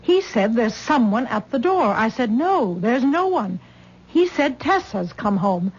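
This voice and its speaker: Husky, fussing mother-in-law. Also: on the high side, very animated, measured pace, heavily acted. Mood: shaken recounting. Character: anxious, firm mother-in-law nursing a sick man. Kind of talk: old-time radio drama acting. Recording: muffled old radio recording.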